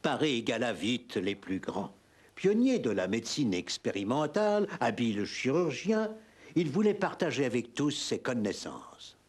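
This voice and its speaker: Male narrator for scripted history film: husky, medium pitch, expressive intonation. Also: moderate pace.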